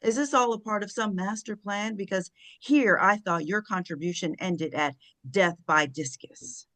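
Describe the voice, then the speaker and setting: A woman's voice: mid-range voice, moderate pace, a bit bright, no room sound, natural voice. A woman at a script table read, playing an imperious, sarcastic woman who takes command.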